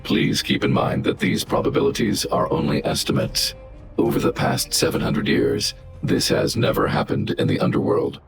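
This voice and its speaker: An extremely low, gravel-edged robot guardian for a video game. The delivery is formal, calm and emotionless, like computed reasoning read out in measured phrases. Music plays clearly behind the voice. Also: male voice, heavily acted. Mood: flat, analytical. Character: calm, logical robot guardian, large and fatherly. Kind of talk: scripted video game voice acting.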